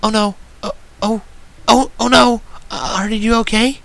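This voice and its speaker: Man putting on a high, tender, timid girl pony. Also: fairly even intonation, unhurried, creaky, fairly bright tone, exaggerated voice. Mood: worried, flustered. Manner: flustered, stammering concern. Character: timid, gentle young female pegasus pony.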